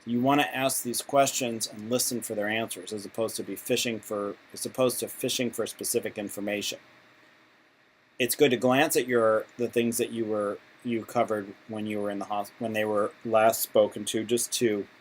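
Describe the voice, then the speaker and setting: A man giving an informal instructional talk, speaking in halting, conversational phrasing with frequent restarts. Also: fairly low pitch, fairly even intonation. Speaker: instructor for patient volunteers. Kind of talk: informal instructional explanation with role-played examples. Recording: clearly noisy amateur recording.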